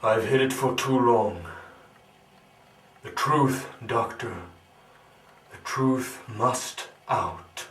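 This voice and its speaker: An actor playing an anguished, brooding scientist villain in a theatrical monologue, grandiose and melancholy, with halting dramatic pauses. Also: male voice, lowish voice, a bit bright. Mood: bitter, anguished. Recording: roomy, distant, slightly noisy recording.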